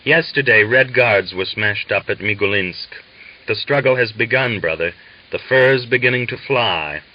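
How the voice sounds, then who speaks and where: A man's voice: lowish voice, moderate pace, highs cut off, natural voice. A man voicing a hot-headed, impatient young Cossack revolutionary in an audiobook, with urgent, snappish, goading delivery. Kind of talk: dramatised audiobook dialogue.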